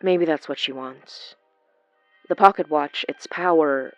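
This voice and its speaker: An earnest, low-voiced young woman, unhurried. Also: no room sound, faint music behind. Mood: earnest, probing. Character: Mari, warm, earnest, guilt-prone young woman. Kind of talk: naturalistic audio drama acting.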